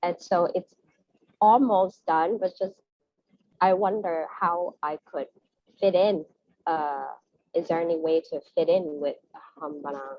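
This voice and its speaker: A woman's voice: on the low side, measured pace, long pauses, dry recording. A woman asking an informal question as an audience member, tentative and self-effacing, heard over lo-fi call audio.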